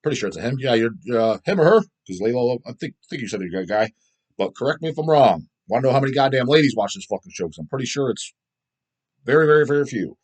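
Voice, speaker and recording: A man with a mid-pitched voice talks casually as the host of his own video show. He is blunt, opinionated and heavily profane. His delivery rambles, and he repeats words to drive a point home. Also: animated, quick pace, darkish tone, husky. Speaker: host of a video show about underground death metal. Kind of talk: casual rambling opinion vlog, answering viewer comments.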